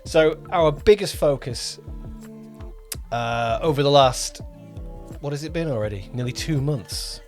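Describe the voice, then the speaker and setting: A man of average pitch hosts a casual producer podcast. He talks in a conversational, loosely phrased way with some hesitation. Music plays behind his voice. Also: expressive, measured pace. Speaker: podcast host, audio drama producer and director. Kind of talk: casual two-person podcast chat.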